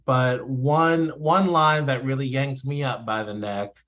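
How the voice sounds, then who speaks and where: A man with a medium-pitched voice talks very slowly and informally on a literary panel. The audio is somewhat dull and lo-fi. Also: steady tone, fairly bright tone. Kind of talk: casual literary panel discussion.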